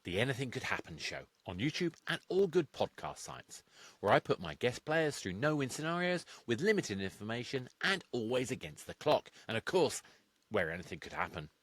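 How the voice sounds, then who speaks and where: A man's voice: medium pitch, sing-song, moderate pace, a bit bright, no echo. A man reading a scripted promo as the game master of a show.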